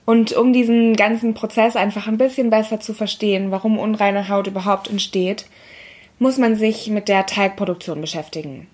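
Hoarse young woman podcast host. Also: medium pitch. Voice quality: scratchy. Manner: friendly, explains step by step with fillers. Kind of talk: casual explanatory podcast talk.

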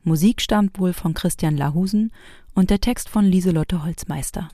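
A woman narrates as host in a personal style, addressing listeners directly. Her voice is dark and mellow, recorded very dry. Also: lowish voice, normal speed, dry recording. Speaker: narrator and host of a homemade audio programme.

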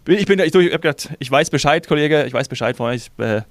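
Male podcast host, from Hesse, lively storyteller, dry close sound, casual chat. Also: a little high, fast, no echo. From Hesse, Germany. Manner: lively storyteller, acts out his own inner voice.

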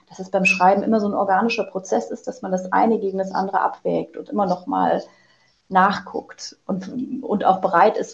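A woman giving thoughtful interview answers as a writer, pausing to find her words. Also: fairly low pitch, creaky, a little mellow, dull audio. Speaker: interviewed audio drama writer.

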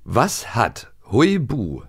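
A man's voice: medium pitch, huge pitch swings, drawn-out words, breathy edge. A middle-aged man chatting casually and humorously as a podcast co-host.

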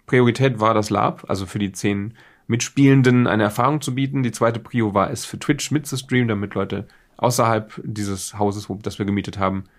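A man hosting a podcast, explaining things casually and off the cuff in a rambling, self-deprecating way. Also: on the low side. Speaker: podcast host. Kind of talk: casual unscripted podcast introduction.

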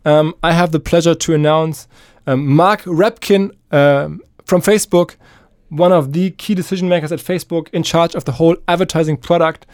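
A man hosting a podcast, giving a spoken introduction in a hesitant, halting way. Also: fairly high pitch, steady tone, darkish tone, smooth, no echo, studio quality. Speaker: podcast host introducing the episode.

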